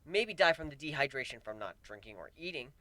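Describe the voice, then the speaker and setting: Man voicing weary pegasus grower, lively swooping pitch. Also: fairly quick, no echo. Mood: matter-of-fact. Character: weary male pegasus plant grower, wry and resigned. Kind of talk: dramatic fan fiction reading.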